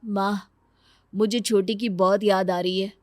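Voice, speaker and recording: A woman's voice: medium pitch, little pitch movement, measured pace, dry recording, studio quality, natural voice. Woman playing a protective, affectionate young brother. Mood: wistful. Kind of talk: cartoon moral-story acting.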